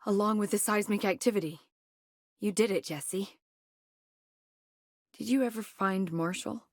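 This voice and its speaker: A woman's voice: mid-range voice, measured pace, dry recording, studio quality. A woman playing an earnest, supportive bureau colleague in video game dialogue. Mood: relieved, concerned.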